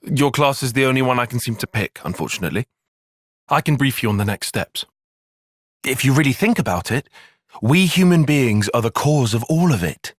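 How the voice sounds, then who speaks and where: A man's voice: medium pitch, animated, normal speed, no echo, natural voice. A man playing an analytical, guarded young soldier strategist in a video game, speaking precisely and articulately with a dry, sarcastic edge. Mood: measured, analytical. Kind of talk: video game cutscene voice acting.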